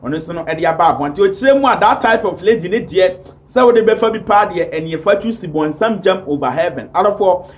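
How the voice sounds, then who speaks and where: A man's voice: high-pitched, lo-fi sound. A man in his thirties with a Ghanaian accent, hosting gossip commentary to camera in an emphatic, repetitive way. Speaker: online show host. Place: small indoor home studio.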